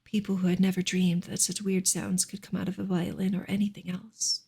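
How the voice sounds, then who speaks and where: A woman reading a book aloud as soft ASMR, breathy and often close to a whisper, with gentle, careful narration. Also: on the low side, level tone, a little fast. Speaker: reader, ASMR creator.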